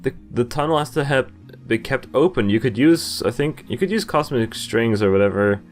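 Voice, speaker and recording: A man's voice: mid-range voice, lively intonation, normal speed, faint music behind. A young man giving casual game commentary and reading on-screen text aloud, easily amused and often chuckling. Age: young adult. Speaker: gamer recording a playthrough. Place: indoors at a desk, with game music behind.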